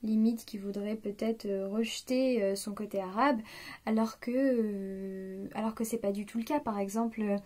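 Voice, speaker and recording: A woman's voice: medium pitch, fairly even intonation, moderate pace, dark tone. A woman in her late twenties talking spontaneously to the camera, hesitant and confiding, with many fillers and restarts. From France. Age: 20s. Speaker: vlogger. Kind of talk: spontaneous personal reflection.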